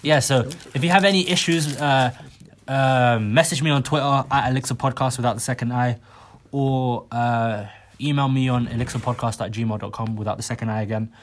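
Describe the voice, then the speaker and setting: A man's voice: mid-range voice, steady tone, fairly quick. A young man hosting a casual podcast with friends, rambling and repeating himself as he talks. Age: young adult. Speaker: podcast host. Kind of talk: casual chat among friends.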